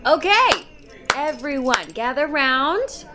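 A woman's voice: high voice, very animated, takes it slowly, pushed and bright, full character voice. A woman playing a gushing, theatrical stage director in a comedic audio drama, speaking with ceremony. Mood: effusive. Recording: background noise, clicks.

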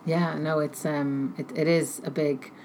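A woman answering interview questions thoughtfully, pausing often as she searches for her words. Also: low-pitched, flat delivery, darkish tone. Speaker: interview guest, technology researcher. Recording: noticeable background noise.

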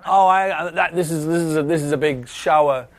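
Welsh man, big tenor voice, animated chatty guest with laughs and false starts. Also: fairly high pitch. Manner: animated, laughs often, halting restarts. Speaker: actor guest. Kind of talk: podcast interview chat.

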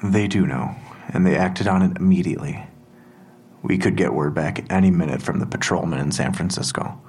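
An actor playing a measured, formal police detective in an audio drama. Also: male voice, low voice, a little mellow, breathy edge. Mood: calm, official.

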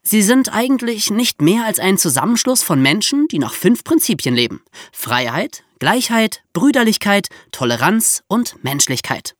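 A history podcast co-host speaks with very animated, swinging intonation. The delivery is conversational and explanatory, with playfully ironic emphasis. The sound is dry and free of room echo. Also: mid-range voice, normal speed.

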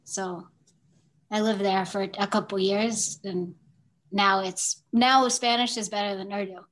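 A woman's voice: medium pitch, fairly even intonation. A woman chatting casually in a group, speaking hesitantly with restarts. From United States. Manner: slow, hesitant, with restarts and soft laughs. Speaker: podcast guest.

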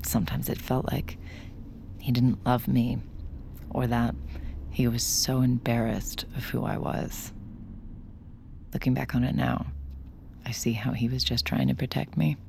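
A woman's voice: rumbling low, little pitch movement, a little slow, vocal fry, long pauses, a little mellow, a little hiss or hum, exaggerated voice. An actress playing a guarded, nervy woman with a secret, in hesitant, understated audio drama style. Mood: brooding, anxious. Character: guarded, nervy adult woman, secret mind reader, wry. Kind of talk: audio drama acting, intimate narration and dialogue.

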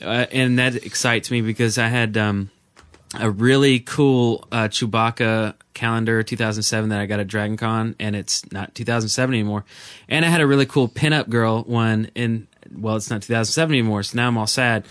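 A man co-hosting a casual gaming podcast, talking in a halting, rambling way. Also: on the low side, steady tone, a bit bright, clear, resonant tone, dry recording. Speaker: podcast co-host.

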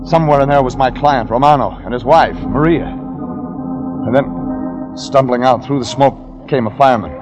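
An actor playing a hard-boiled private detective in a vintage radio drama, narrating in a dry, terse manner. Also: male voice, medium pitch, moderate pace, lightly acted. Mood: hard-boiled narration. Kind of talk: 1940s radio acting. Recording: muffled old radio broadcast with music.

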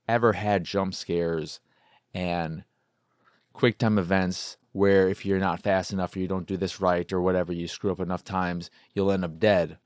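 A man's voice: on the low side, no echo, studio quality. A man hosting a podcast, talking casually on his own as he reviews games, rambling and circling back to rephrase his points. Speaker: podcast host. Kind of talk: casual solo video game review talk.

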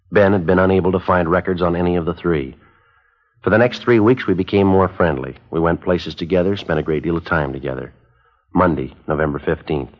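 An actor playing an undercover police detective in a 1950s radio drama, speaking in a clipped, flat, matter-of-fact way. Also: male voice, sits low. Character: undercover police detective posing as buyer, terse. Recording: dull lo-fi old radio broadcast.

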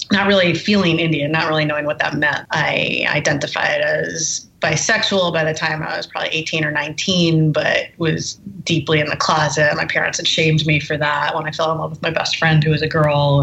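A woman's voice: low voice, creaky, a bit bright, muffled recording. A woman from the United States, a memoirist and Marine veteran, speaking candidly as a podcast guest, with many fillers and restarts. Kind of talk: podcast interview.

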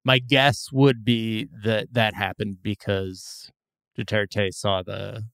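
Smooth-voiced man, wry podcast host. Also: fairly low pitch, level tone, slow, fairly bright tone, dry recording, clean studio sound. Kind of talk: casual chat.

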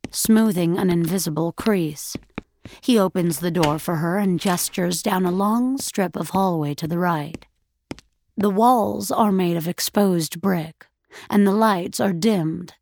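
A woman narrating a dramatic audio story in a measured, deliberate, literary way. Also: lowish voice, a little mellow, a little hiss or hum. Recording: studio narration over faint music.

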